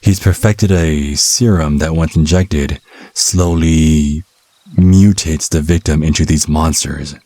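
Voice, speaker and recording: A man's voice: very deep voice, fairly even intonation, slow, dark tone, dry recording, heavily acted. A man playing a smug, cunning vampire captive in an ASMR audio drama, taunting with sardonic mock politeness and teasing pet names. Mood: sardonic, explaining.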